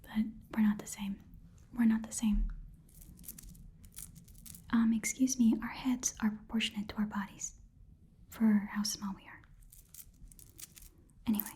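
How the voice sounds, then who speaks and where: A woman's voice: on the high side, flat delivery, unhurried, long pauses, mellow, a little hiss or hum, close to an everyday voice. A woman playing a small, blunt goblin pawnshop keeper in a casual, muttering ASMR roleplay whisper. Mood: disgusted, grumbling. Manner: rambling, casual, muttering, slangy. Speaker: ASMR performer playing a shopkeeper.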